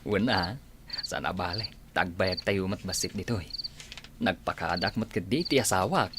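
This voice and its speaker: A man's voice: low-pitched, moderate pace, very noisy, close to an everyday voice. A man playing an easygoing married visitor to a village in a radio drama, with an Ilocano accent. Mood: content.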